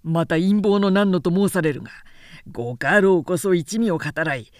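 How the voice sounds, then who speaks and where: A woman's voice: lowish voice, lively intonation, normal speed, darkish tone, no echo, studio quality, lightly acted. Proud male samurai officer, acted by a female narrator, formal, cutting and emphatic, period storybook. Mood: cutting, indignant. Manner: stiff, cutting, formal archaic speech.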